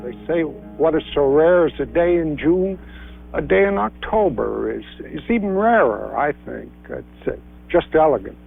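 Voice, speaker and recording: A man with a rural New Hampshire accent, talking reflectively in an interview in a halting, musing way. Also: on the high side, expressive, creaky, soft background music. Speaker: local interviewee. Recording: dull, tinny 1960s film soundtrack.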